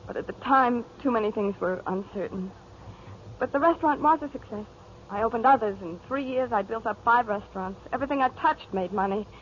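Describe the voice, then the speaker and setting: A woman's voice: a little high, lightly acted. Troubled mother and businesswoman, played by an actress, period radio melodrama, dull hissy broadcast sound. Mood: confessional. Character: anguished restaurant businesswoman and mother, grown woman under questioning. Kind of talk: 1940s radio acting. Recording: muffled, noisy 1940s radio broadcast.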